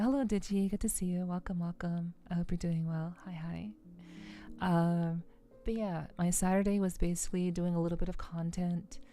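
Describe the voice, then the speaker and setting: A soft-spoken woman streamer chatting casually and unhurriedly with her live viewers in an ASMR style, rambling a little hesitantly. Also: fairly low pitch. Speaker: livestreamer, VTuber host. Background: faint music.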